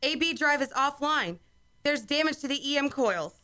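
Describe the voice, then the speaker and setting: A woman plays an earnest young ensign at a shuttle's controls in a sci-fi radio drama. Her voice is very high, and she delivers formal, dutiful military reports. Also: lively intonation, moderate pace, pushed and bright. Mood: alarmed. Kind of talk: science fiction radio play acting.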